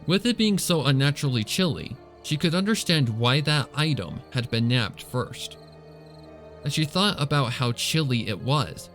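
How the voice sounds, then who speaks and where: Guy reading a spooky story, normal pitch voice, dramatic narration w quiet music n crickets behind. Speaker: fan fiction reader and narrator.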